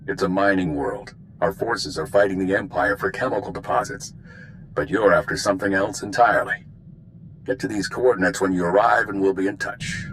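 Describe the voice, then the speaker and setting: An authoritative male spymaster in a video game. His voice is low and measured, with steady command, over noticeable background noise. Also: low-quality recording.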